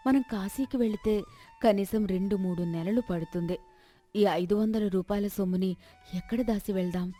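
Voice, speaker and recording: An anxious village wife in a storybook tale, voiced by a woman. She is timid and pleading, speaking at a middle pitch over faint music. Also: normal speed, warm tone, natural voice. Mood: worried. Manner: worried, pleading.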